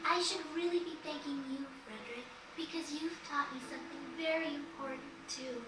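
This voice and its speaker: A very high, girlish voice from an actress plays a gentle, sincere young girl with magic in a video game cutscene. She speaks earnestly and softly. The capture is roomy, distant and noisy. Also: fairly quick, lightly acted. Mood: warm, grateful.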